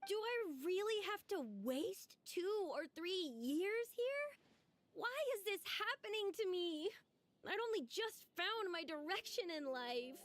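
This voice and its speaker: High-voiced, earnest young lady. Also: measured pace, no echo. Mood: dismayed. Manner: expressive, earnest. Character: earnest, dramatic young lady with big ambitions. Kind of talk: video game voice acting.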